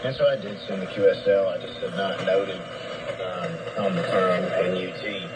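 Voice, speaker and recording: A man hosting a casual radio station talk show, speaking in a measured, halting way. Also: deep voice, steady tone. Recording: noisy shortwave radio broadcast.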